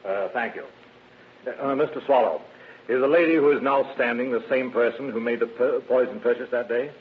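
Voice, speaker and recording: An actor playing a formal, confident district attorney in an old radio drama. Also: male voice, mid-range voice, brisk, close to an everyday voice. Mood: formal questioning. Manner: formal, confident courtroom questioning and argument. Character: assured, formal male prosecuting district attorney. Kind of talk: 1940s radio acting. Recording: muffled, noisy old radio recording.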